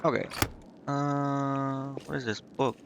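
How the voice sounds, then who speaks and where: A man's voice: medium pitch, level tone, slow, vocal fry, muffled recording, noisy background. A man streaming a video game, thinking aloud in a casual, offhand and indecisive way. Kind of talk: casual live gameplay commentary, thinking aloud.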